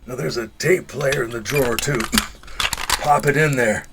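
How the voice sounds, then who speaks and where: An actor playing a dying retired Secret Service agent in an audio drama, older, gruff and folksy, weary and confiding, with dry humor. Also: male voice, medium pitch, normal speed, noisy background, natural voice. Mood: secretive, serious.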